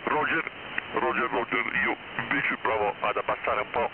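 A man's voice: mid-range voice, moderate pace, creaky. A man talking casually as an amateur radio operator over a radio link, hesitantly thinking aloud. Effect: radio transmission. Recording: very noisy narrowband radio, heavy hiss.

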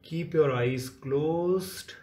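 Very slow-paced man guiding relaxation. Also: fairly high pitch, dark tone. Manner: measured, instructing in short phrases with long pauses. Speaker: yoga nidra instructor. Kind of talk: guided relaxation meditation. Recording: echoey, roomy sound.

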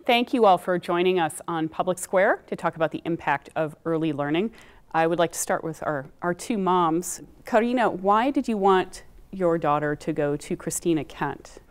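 A middle-aged woman hosting a studio panel, asking clear, polite questions. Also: fairly low pitch, animated, moderate pace. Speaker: host. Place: TV studio set. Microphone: lapel mic.

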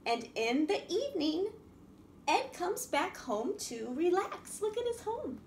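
A middle-aged woman hosting a children's storytime, reading and explaining in a sing-song, animated manner with simple questions for small children. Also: extremely high pitch, slow pace. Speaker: library storytime host. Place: small indoor room at a wooden table. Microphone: camera a few feet away. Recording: roomy echo, faint background noise.

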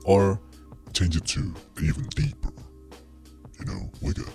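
Man as a very deep demonic voice, pitch-shifted. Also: expressive, a little slow, darkish tone, soft background music. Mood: casual menace. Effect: pitch-shifted down by voice changer.

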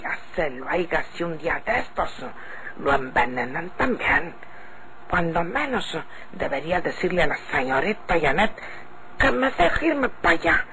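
A man with a Mexican accent playing a plainspoken, loyal country servant with halting, folksy talk in an old radio drama. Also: a little high, sing-song, pushed and bright, full character voice. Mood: suspicious, indignant. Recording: noisy vintage radio recording.

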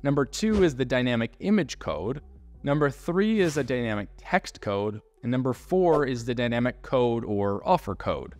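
A man's voice: medium pitch, lively intonation, moderate pace, a little mellow. A man in his thirties giving a marketing tutorial to camera in a home studio, talking directly and conversationally on a studio mic. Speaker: email marketing agency founder. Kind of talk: tutorial to camera with screen share. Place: home studio with shelves and guitar, indoors. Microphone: studio microphone on arm.

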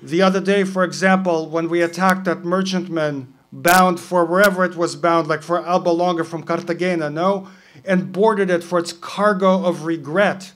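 A man doing a loud, brooding pirate narrator. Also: sits high, fairly even intonation, normal speed, pitched above the performer's own voice. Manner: loud, declaiming, long winding sentences.

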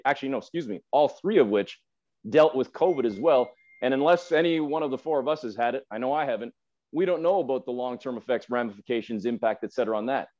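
Male sports broadcaster as podcast guest, middle pitch, emphatic and explanatory, slightly lo-fi call sound. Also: darkish tone. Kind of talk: sports discussion, analysis. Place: indoor room at home, video call. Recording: dull lo-fi video call audio.

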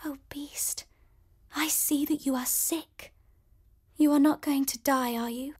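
A woman voicing a young, gentle heroine who is kind and earnest, speaking softly and often hushed. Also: high voice, fairly even intonation, a little fast, voice pushed up, lightly acted. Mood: tender.

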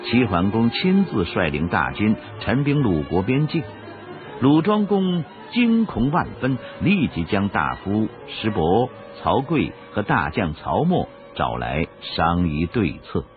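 Male narrator, low voice, animated intonation, dramatic historical storytelling, music behind. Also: takes it slowly. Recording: phone-like radio recording over music.

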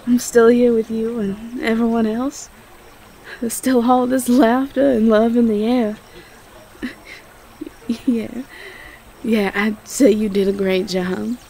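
Woman acting young Southern belle, affectionate, high-pitched, Southern US accent, roleplay audio, background ambience. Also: flat delivery, slow, pauses often, mellow, a little hiss or hum. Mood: delighted, encouraging.

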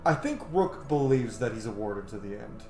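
A man's voice: medium pitch, big pitch swings, a little fast, darkish tone. A man in his thirties giving casual commentary as he plays through a roleplaying game. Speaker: gaming streamer roleplaying a playthrough. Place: room at home, indoors. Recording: roomy, game music faintly behind.

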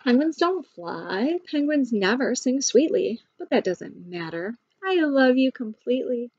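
A woman reads as a storybook tree kangaroo, a wistful and loving mother with a fairly high voice. The delivery is tender and expressive, on a dry, slightly dull recording. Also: unhurried, husky, dry recording, full character voice. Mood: loving surprise.

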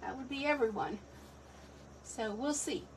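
A woman's voice: on the high side, sing-song, measured pace. A middle-aged woman losing her voice, hosting a live craft stream from her home desk in a chatty, rambling, self-deprecating way. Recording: distant from the mic, faint room noise.

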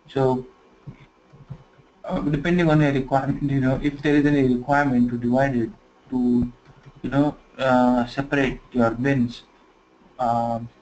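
A man teaching software configuration in a screen-recorded tutorial, talking conversationally and filling pauses with "you know". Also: medium pitch. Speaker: software trainer. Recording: slightly dull lo-fi screen recording with faint noise.

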